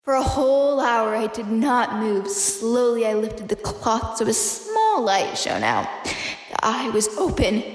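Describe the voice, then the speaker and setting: A woman's voice: a little high, sing-song, takes it slowly. A woman playing an unhinged killer who insists on being sane, in an intense, dramatic gothic horror audio play. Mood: tense, frenzied. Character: unhinged killer insisting on their own sanity. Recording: echoey room with long reverb.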